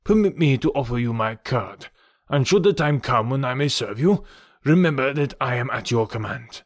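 Man reading as French nobleman, proud, indignant yet courtly, dramatic, formal, lifted pitch, audiobook character. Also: animated, moderate pace, no room sound, studio quality, lightly acted. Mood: courteous, grateful.

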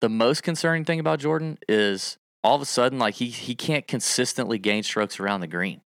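A man co-hosting a casual golf betting livestream, talking in an animated, emphatic way and piling on stats. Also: mid-range voice, fairly quick, no room sound, clean studio sound.

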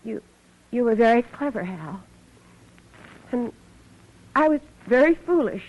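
A woman's voice: on the high side, lively intonation, unhurried. An actress playing a betrayed, wounded but resolute woman in a 1940s radio drama style. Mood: hurt, resigned. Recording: dull old radio recording.

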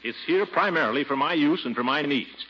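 An actor playing a pompous, exasperated middle-aged uncle who rules the household in a 1940s radio comedy. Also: male voice, fairly high pitch, expressive, a little fast, a little hiss or hum, lightly acted. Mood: exasperated, stern. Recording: dull vintage radio recording, music behind.

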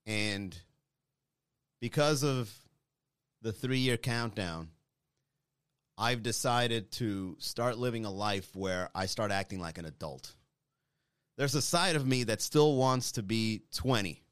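A man's voice: on the low side, clean tone, no echo, clean studio sound. A man in his thirties, a comedian chatting casually to his online viewers in a rambling, self-deprecating way. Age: 30s. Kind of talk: casual monologue to an online audience.

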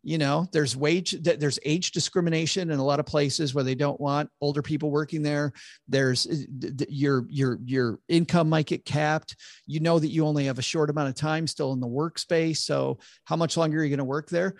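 Gravelly man explaining money matters. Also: fairly high pitch, fairly even intonation, normal speed, dry recording, studio quality. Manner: earnest, explains with frequent stammered restarts. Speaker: financial advisor guest. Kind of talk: conversational podcast interview.

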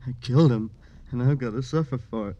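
A male actor portrays a tormented man weighed down by guilt, in vintage radio drama style. His mid-pitched voice is fragile and anguished. Words come haltingly. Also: dark tone, lo-fi sound, close to an everyday voice. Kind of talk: 1950s radio drama acting.

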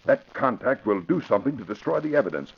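A man's voice: mid-range voice, very animated, fast, creaky, breathy edge, heavily acted. A man playing an earnest, authoritative American police commissioner in a classic radio drama. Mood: grave, urgent. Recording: muffled, lo-fi vintage radio recording.

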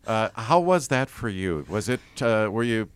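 A man's voice: lowish voice, big pitch swings, measured pace, clean tone, no room sound. A man hosting a radio interview, asking questions and searching for words with frequent fillers. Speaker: radio host.

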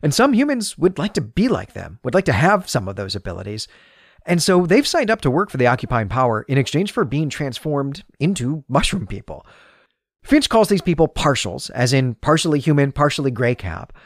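Man, podcast host, analytical and explanatory, highly varied intonation, mid-pitched voice, dry close-up recording. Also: big pitch swings, a little fast, no echo. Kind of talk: literary analysis podcast monologue.

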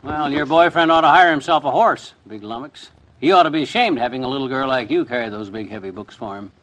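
An actor playing a blustery, boyish, folksy husband in an old-time radio comedy. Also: male voice, medium pitch, animated, fast talker, fairly bright tone, close to an everyday voice, low-quality recording. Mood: folksy, curious. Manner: folksy, slangy. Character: blustery, boyish, quarrelsome small-town husband.

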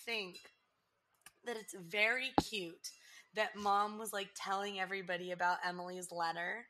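A woman in her twenties chatting casually as a podcast host, rambling through a TV episode recap with lots of "like" fillers and sudden excited outbursts. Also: mid-range voice, normal speed, background noise. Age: 20s.

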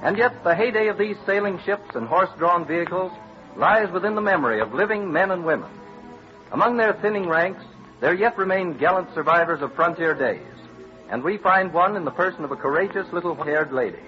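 A man delivers stately scripted narration in 1940s radio style. His voice sits a little high and his manner is formal. Music plays behind him on a muffled old recording. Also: lively intonation, brisk.

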